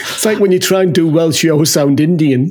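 A husky, slightly breathy man chatting casually as a podcast co-host. He laughs a lot and keeps checking in with a quick "huh?". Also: on the high side, darkish tone. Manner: chatty, laughs often, tags lines with "huh?". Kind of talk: casual chat.

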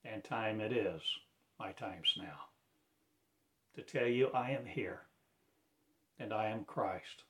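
Slow, solemn man preaching. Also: fairly low pitch, slight background noise. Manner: slow, solemn and declamatory, with sudden loud swells and hushed drops. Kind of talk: rambling prophetic monologue.

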